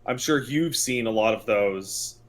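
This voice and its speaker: A man's voice: fairly low pitch, unhurried. A man co-hosting a video game podcast in casual conversation, giving blunt, sarcastic, exasperated opinions.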